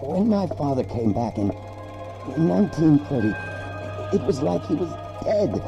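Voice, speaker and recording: An actor playing a frightened, guilt-ridden father under sedation and hypnosis in a dramatic video game, speaking in halting, stammering phrases. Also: on the high side, sing-song, vocal fry, lo-fi sound, over music. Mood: bitter, sorrowful. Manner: halting, stammering, broken sentences.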